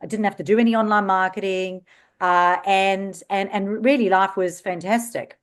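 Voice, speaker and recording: A woman in her forties from South Africa with a South African Australian accent, telling her story as a guest in an interview, animated and quick to laugh. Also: medium pitch. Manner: animated, laughs easily, self-described hyperactive. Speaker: guest entrepreneur.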